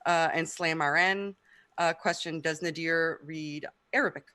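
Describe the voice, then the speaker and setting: A woman's voice: low-pitched, steady tone, measured pace, bright, forceful tone, slight background noise. A woman chatting casually on a live stream in a playful, informal manner.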